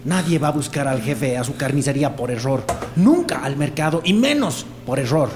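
A man's voice: medium pitch, animated, moderate pace, natural voice. An actor playing a jittery, accusing henchman in a radio drama, rambling and repeating himself aggressively with a Bolivian accent. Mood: insistent, menacing. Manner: rambling, repetitive, aggressive interrogation. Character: jittery, accusing male henchman of a crooked butcher. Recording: long reverb, background noise and ambience.

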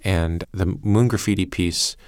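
A man's voice: sits low, fairly even intonation, a little slow, background noise. A man who produces a podcast, explaining his work reflectively in an interview with hesitant, thoughtful phrasing.

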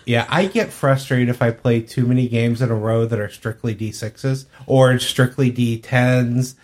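A man's voice: mid-range voice, fairly even intonation, a little slow, darkish tone. Man chatting casually on a gaming podcast. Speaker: podcast co-host. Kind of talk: casual panel chat about tabletop games.

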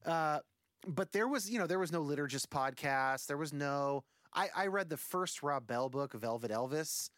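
A man in his forties with a somewhat high voice, a guest musician on a podcast. He rambles thoughtfully, piling up fillers and restarts as he works through a point. The recording is dry and close. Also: lively intonation, moderate pace, fairly bright tone, no room sound. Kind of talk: casual conversation.

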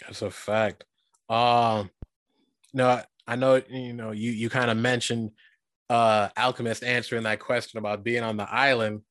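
A man from Guyana hosting a casual interview, talking in a relaxed, rambling way with lots of fillers. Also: mid-range voice, normal speed, no echo. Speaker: podcast host.

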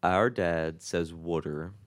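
A young man with a Baltimore accent talks in a fairly low voice, chatty and quick to agree, with plenty of fillers. The recording is dry and close, with no room sound. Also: no echo. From Baltimore, USA. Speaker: podcast co-host. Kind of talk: casual chat.

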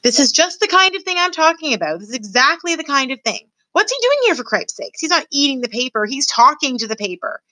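A woman's voice: high voice, big pitch swings, fast, few pauses, sharp tone, no room sound, voice pushed up, heavily acted, rough recording. A woman voicing a brash, impatient young male mouse who talks in an exasperated, blunt way.

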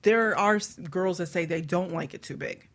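A woman's voice: lowish voice, normal speed. A woman hosting a radio show, chatting casually and bawdily in a frank, teasing way. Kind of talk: bawdy casual panel chat.

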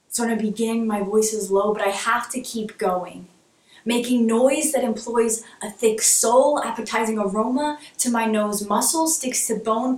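A woman performing spoken word poetry in a rhythmic, rhyming delivery that sometimes sinks to a hush. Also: medium pitch. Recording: echoey room from far off.

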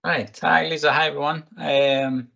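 Husky Greek-accented man, halting speech. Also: on the high side, flat delivery, sharp tone, dull audio. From Greece. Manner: hesitant, many fillers and restarts. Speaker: recruitment technology executive, podcast guest. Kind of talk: casual interview answers.

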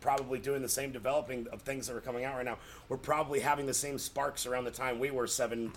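A man's voice: medium pitch, fairly even intonation, fast talker, a little hiss or hum. An American man talking casually and effusively as a podcast guest, telling stories in a rambling way, repeating words for emphasis and acting out quoted reactions. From Colorado, United States. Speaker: podcast guest, comedy writer. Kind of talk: casual, animated storytelling.

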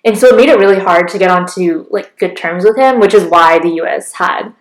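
A woman's voice: on the low side, fairly quick, roomy sound, poor audio. A young woman presenting history in a casual chat, explaining with many fillers and laughing easily.

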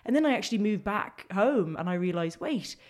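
A woman's voice: mid-range voice, lively intonation, fairly quick, a little mellow. A young woman from London with a London accent, a guest on a casual podcast, talking earnestly with many fillers and restarts. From England. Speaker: guest, local election candidate.

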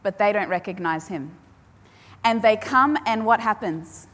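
A woman's voice: mid-range voice, animated, fairly bright tone. Woman preaching in an echoing hall. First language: Polish. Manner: emphatic, repeats key phrases with dramatic pauses. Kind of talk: sermon. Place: large hall with audience. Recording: long hall reverb.

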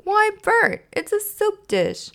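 Woman playing a young male puppet, high, excitable, impatient, warm-hearted, storybook style. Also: moderate pace, creaky. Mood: earnest. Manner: eager, exclaiming.

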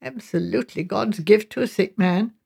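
A woman's voice: medium pitch, moderate pace, gravelly, warm tone, slightly rough. A woman voicing a spirited, outspoken young English sister in an audiobook, chatty, emphatic and teasing. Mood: teasing, mischievous.

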